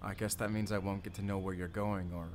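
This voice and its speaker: A man's voice: deep voice, flat delivery, brisk, background noise, close to an everyday voice. A man playing a hurt, pleading, lovelorn young man in an audio roleplay. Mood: concerned, deflated.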